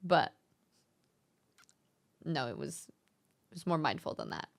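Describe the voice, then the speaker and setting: A young woman hosting a casual live-stream chat, speaking in a gentle, encouraging and thoughtful way. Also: low voice, very animated, slow, gravelly. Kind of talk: casual live-stream chat, reading viewer messages aloud.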